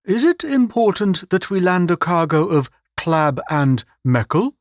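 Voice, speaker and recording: A man's voice: high-pitched, measured pace, no echo, clean studio sound, voice pushed up. A man voicing an earnest, naive young man who speaks politely.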